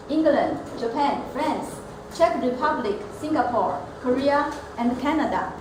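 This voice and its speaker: A woman speaks high and unhurried, setting out each phrase deliberately. Her earnest prepared speech carries some self-corrections. It was recorded in an echoey room with steady noise behind her. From Taiwan. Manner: measured, earnest, with occasional restarts. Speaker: elementary school teacher and club member.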